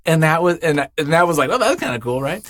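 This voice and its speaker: A man's voice: on the high side, sing-song, fast talker, fairly bright tone, no echo. A man chatting casually as an interview guest, telling things in a rambling way and acting out imagined quotes. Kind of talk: casual chat.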